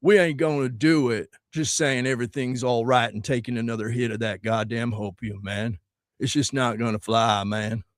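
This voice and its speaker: A man's voice: medium pitch, steady tone, moderate pace, no room sound, studio quality. A man, ranting crudely and preachily to camera about politics while plugging his song, his voice a little scratchy from singing. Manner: loud, crude, preachy, swearing constantly. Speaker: musician promoting his song. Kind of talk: profane political rant to camera.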